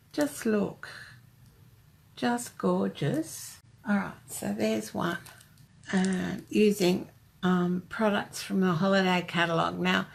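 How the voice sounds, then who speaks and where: An older Australian woman talks slowly and chattily through a craft live stream, greeting viewers by name as she goes. Her voice is heavily gravelly and a little husky. She sounds like she is speaking into a camera set up on her desk. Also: medium pitch.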